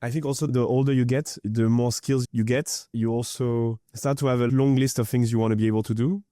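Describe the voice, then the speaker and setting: A man hosting a podcast, with a mellow, warm tone and an average pitch. He asks open, friendly questions and shows appreciation for his guest. The recording is close and dry. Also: steady tone, brisk, dry recording. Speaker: podcast host. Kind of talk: casual interview hosting.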